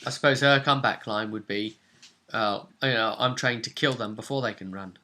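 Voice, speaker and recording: A man with a bright, forward voice at a middling pitch, working as game master. He recaps a role-play session conversationally, explaining the rules and moves as he goes. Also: brisk. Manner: conversational, explanatory, acting out characters' lines.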